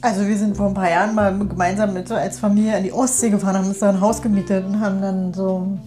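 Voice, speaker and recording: A woman's voice: medium pitch, little pitch movement, fast talker, dark tone, soft background music. A woman in a casual, conversational chat, often ending her thoughts with little tag questions that seek agreement. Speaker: guest in a conversation.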